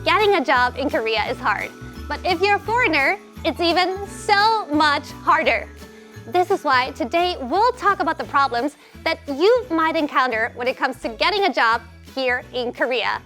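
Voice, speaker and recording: High-voiced bubbly woman host. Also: normal speed, faint music behind. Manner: upbeat, animated presenting. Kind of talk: hosting a panel interview.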